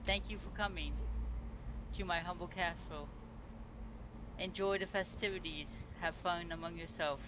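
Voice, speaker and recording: A man's voice: high voice, fairly even intonation, measured pace. A man voicing a pregnant beast-woman empress who formally welcomes guests to her feast. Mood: stately. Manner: formal, grand speech with restarts. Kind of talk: role-play in a multiplayer game. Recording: noisy game-chat audio.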